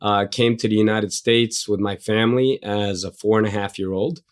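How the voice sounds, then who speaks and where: A man's voice: on the low side, steady tone, normal speed. A middle-aged man from Egypt, raised in New Jersey, telling stories as an interview guest in a blunt, self-deprecating way with casual swearing. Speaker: interview guest, undercover agent. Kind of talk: candid interview storytelling.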